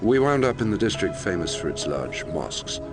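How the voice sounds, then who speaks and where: An actor playing an investigative journalist, narrating a murder case in a measured, slightly hesitant documentary style for a game. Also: male voice, low-pitched, big pitch swings. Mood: measured, reflective. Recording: music bed under the voice.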